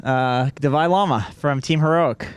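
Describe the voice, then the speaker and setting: A man casually interviewing a player, playful and halting with lots of filler words. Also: on the high side, clean tone, no room sound.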